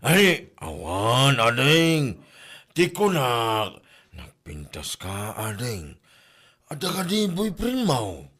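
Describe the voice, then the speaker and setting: Animated, flustered Ilocano man played by an actor. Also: medium pitch, slow, breathy edge, exaggerated voice. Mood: flustered, flirty. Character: flirty, evasive married man, bumbling and defensive. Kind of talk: comedy drama acting.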